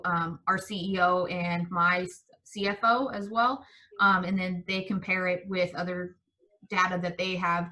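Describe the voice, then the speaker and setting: Woman giving a work update on a call, bright voice, kinda flat delivery. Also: medium pitch. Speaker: nonprofit representative. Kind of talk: informational update in a work meeting. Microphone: remote conference call.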